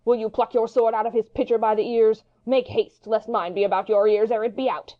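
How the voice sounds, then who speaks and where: A woman's voice: a little high, steady tone, brisk, narrow, tinny audio, lightly acted. A woman playing a hot-headed, witty young swordsman in a Shakespeare audiobook, taunting and theatrical in long-winded speeches. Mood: scornful, taunting. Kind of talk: Shakespeare audiobook dramatic reading.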